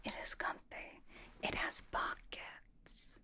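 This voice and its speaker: A woman voicing a young female K-pop idol who is dryly doting and comfort-obsessed, over-explaining playfully in a hushed, casual ASMR roleplay whisper. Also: takes it slowly. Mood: playfully enthusiastic.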